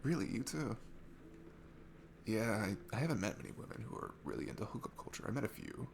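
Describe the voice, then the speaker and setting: Guy acting as a nervous sweet shy young dude in an asmr roleplay, gravelly fry, awkward and rambly, noticeable background noise. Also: lowish voice, lively intonation, brisk. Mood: earnest, open. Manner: hesitant, rambling, self-deprecating, awkward nervous laughs. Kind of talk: ASMR roleplay, one-sided intimate conversation.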